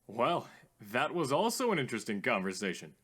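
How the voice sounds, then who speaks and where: A man playing a theatrical, lively-voiced radio host. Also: medium pitch, slightly rough. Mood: thoughtful. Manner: formal, genteel, drawn-out greetings. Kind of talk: radio drama acting as call-in host.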